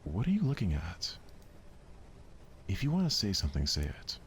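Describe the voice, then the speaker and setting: A man voicing a small, moody high school girl from a visual novel, snappy and abrasive. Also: wildly swooping pitch, moderate pace, slight background noise. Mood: confrontational.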